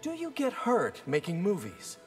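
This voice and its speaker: An actor voicing a friendly, earnest young ninja sidekick in a video game, plain-spoken in manner. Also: male voice, sits high, wildly swooping pitch, normal speed, over music. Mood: earnest friendliness. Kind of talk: video game fight-intro banter.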